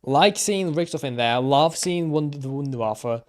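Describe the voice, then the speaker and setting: A man giving casual live stream commentary, thinking aloud haltingly and repeating himself. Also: a little high, lively intonation.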